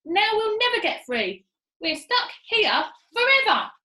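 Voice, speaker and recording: A woman reader puts on a nasty old wife from a children's story. The voice is shrill and very high, wailing and screaming in panic. It rings in an echoey room. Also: big pitch swings, a little slow, pushed and bright, heavily acted. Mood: furious.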